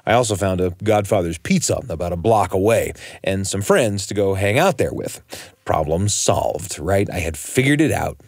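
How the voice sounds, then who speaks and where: An American man narrates a personal tale as a radio storyteller. His voice sits low and moves through lively, varied intonation. The sound is close and dry. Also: normal speed, no room sound.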